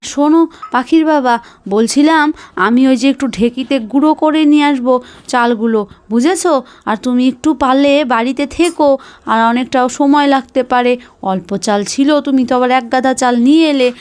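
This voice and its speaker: A high-pitched village wife and mother played by a woman from a doll cartoon. She is harried and devout, nagging and exclaiming as she repeats her phrases. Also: normal speed, darkish tone, clear, resonant tone, close to an everyday voice. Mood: scolding. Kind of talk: Bengali doll cartoon voice acting.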